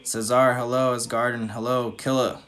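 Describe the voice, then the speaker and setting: A man answering viewer questions on a casual livestream, talking in a loose, swaggering way. His voice sits in an ordinary middle pitch. He coughs now and then, swears freely and speaks like a dating coach to his followers. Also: flat delivery, normal speed, fairly bright tone. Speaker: dating coach hosting a live Q&A. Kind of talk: casual livestream chat reading viewer comments.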